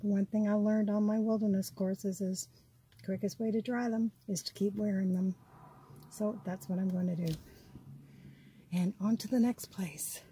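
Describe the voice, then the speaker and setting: A woman's voice: medium pitch, moderate pace, rough recording. Easygoing woman vlogging outdoors. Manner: relaxed, talking to herself while hiking. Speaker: solo travel vlogger. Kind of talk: casual vlog narration to camera. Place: outdoors on a rural coast and trails, also in a car. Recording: outdoor noise clearly audible behind.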